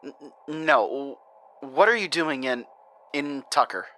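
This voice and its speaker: An actor playing a troubled, defensive investigator in a horror audio drama, halting, rambling and confessional. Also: male voice, mid-range voice, animated, pushed and bright, poor audio. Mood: bewildered, uneasy. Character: troubled, defensive male investigator recounting his case.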